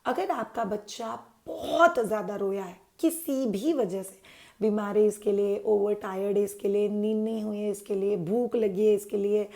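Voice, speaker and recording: A woman's voice: mid-range voice, fairly even intonation, normal speed, darkish tone. A woman hosting a parenting channel, explaining baby care to parents in a chatty way, dropping English words into her talk and answering her own questions.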